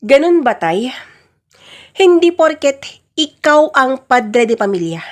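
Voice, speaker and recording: Woman acting a high-voiced defiant daughter. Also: sing-song, slow, exaggerated voice. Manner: indignant, pleading. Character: defiant adult daughter pleading for her own choices. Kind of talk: Filipino radio-style family drama.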